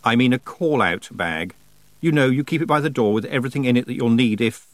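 A man voicing a sensible, sharp-tongued young witch girl in a storybook, plain-spoken and firm. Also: mid-range voice, lively intonation, brisk, no echo, close to an everyday voice. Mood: brisk, practical.

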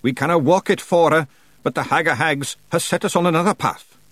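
Bold little Scottish clan chief, high-pitched. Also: male voice, fairly quick, a bit bright, no echo. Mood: grave, rallying. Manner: emphatic, rousing dialect speech. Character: small bold Scottish fairy clan leader, commanding and weary.

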